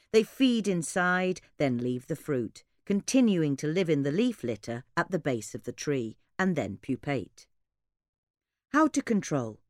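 Female podcast reader, low register with lively intonation, scripted informative delivery, measured and articulate. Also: dry recording, studio quality. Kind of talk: scripted informative narration of gardening advice.

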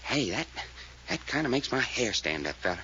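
An actor playing a folksy, excitable detective sidekick with a Southern American accent in a 1940s radio drama. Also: male voice, mid-range voice, moderate pace. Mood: hushed, uneasy. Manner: folksy, drawling grammar. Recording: muffled, hissy old radio recording.

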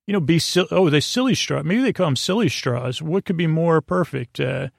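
A man hosting a sleep podcast in a meandering, halting bedtime monologue, often interrupting himself and drifting off into gently silly digressions. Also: fairly high pitch, animated, vocal fry, no room sound, studio quality.

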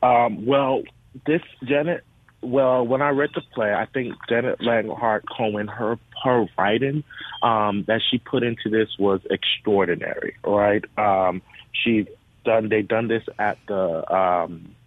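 A man's voice: on the low side, steady tone, a little slow, no echo. A man from the US Virgin Islands, a theater education director, giving earnest answers as a radio interview guest. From US Virgin Islands (Saint Thomas). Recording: narrow phone-like radio line.

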